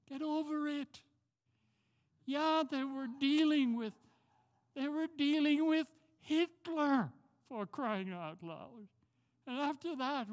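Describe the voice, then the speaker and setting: An older man from Jamaica with a Jamaican accent, preaching a sermon as a pastor and driving his points home by repeating key words. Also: extremely high pitch. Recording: long hall reverb.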